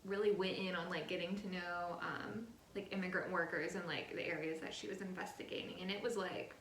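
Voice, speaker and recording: A woman's voice: lowish voice, fairly even intonation, brisk, a bit bright. A woman in a casual, filler-heavy book chat, picking her words hesitantly as she goes. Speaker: co-host or guest on book vlog. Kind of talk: casual chat about books. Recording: echoey room with clear background noise.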